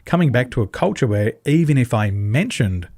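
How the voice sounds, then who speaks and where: An Australian man, a podcast guest, speaking on a video call and telling animated stories, acting out dialogue with big leaps in pitch and emphatic repetition. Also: a little fast, no room sound, clean studio sound. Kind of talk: animated conversational storytelling. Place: home in Taiwan, over a video call.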